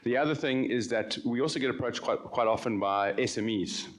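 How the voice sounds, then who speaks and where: A man speaking as a venture capital managing director on a conference panel, relaxed and conversational with frequent ums. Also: mid-range voice, a little fast. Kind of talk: panel discussion.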